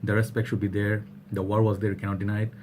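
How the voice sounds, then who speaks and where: A man's voice: fairly low pitch, level tone, fast talker, background noise. A young man from Bangladesh talking casually to camera in a vlog, rambling with many fillers and restarts. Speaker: vlogger.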